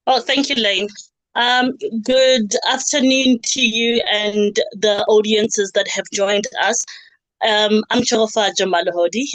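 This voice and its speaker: A woman introducing herself politely on an online panel, pausing to find her words. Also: medium pitch, fairly even intonation, a bit bright, no echo, poor audio. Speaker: panelist, youth forum member.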